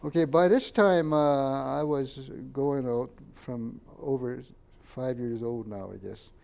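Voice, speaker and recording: An older man, a Japanese first-language speaker from Canada, recalls his childhood in a halting oral history interview and points out photos. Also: mid-range voice, creaky, a little mellow. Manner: halting, many fillers and restarts, pointing out photos.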